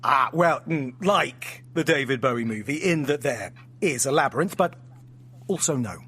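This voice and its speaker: A man's voice: mid-range voice, lively intonation, normal speed, sharp tone, breathy edge. An actor playing an erudite, teasing man with formal, playfully theatrical speech in an audio drama. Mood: amused, explaining. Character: erudite, teasing man, fond host and storyteller. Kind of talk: naturalistic audio drama dialogue.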